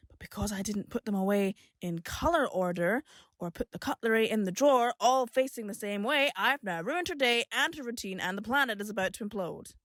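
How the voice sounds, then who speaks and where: A woman's voice: medium pitch, expressive, fairly quick, a bit bright, no room sound, natural voice. A woman acting a fed-up, blunt young adult daughter in an audio drama, conversational and sardonic. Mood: exasperated.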